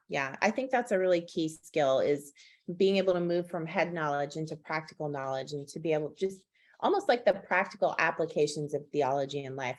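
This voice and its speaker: Woman hosting, slightly low voice. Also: a little mellow. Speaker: interview host. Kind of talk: conversational interview.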